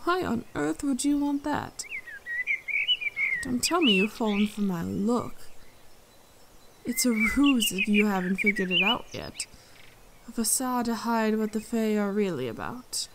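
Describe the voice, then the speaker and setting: A woman playing a regal, dryly amused and protective fae leader in an intimate ASMR fantasy roleplay, speaking in a measured, composed, gently condescending way. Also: on the high side, lively intonation, very noisy. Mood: stern, intrigued. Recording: forest ambience with birdsong. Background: birdsong and forest sounds.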